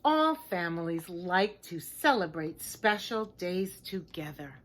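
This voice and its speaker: A woman reading a children's picture book aloud like a teacher, in a deliberate, expressive way, with hushed chatty asides to her listeners. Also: fairly low pitch, a little hiss or hum. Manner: slow, expressive, simple deliberate phrasing.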